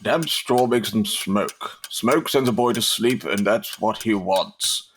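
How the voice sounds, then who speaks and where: A man playing a callous, blunt gentleman of the workhouse world in a period audio drama. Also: on the low side, slow pace, slight background noise. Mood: cold, blunt. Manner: blunt, unfeeling.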